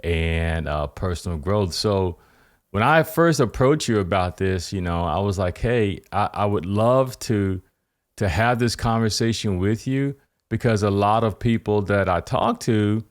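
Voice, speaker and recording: Rambling, low-voiced man hosting a podcast. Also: unhurried. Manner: rambling, many fillers and restarts, enthusiastic. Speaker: podcast host. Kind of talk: casual interview.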